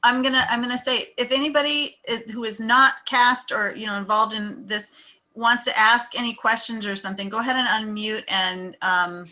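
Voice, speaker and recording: Bright-voiced woman hosting casually. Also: a little high, fairly even intonation, moderate pace. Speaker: host of the table read. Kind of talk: casual chat, informal hosting. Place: indoors on a group video call.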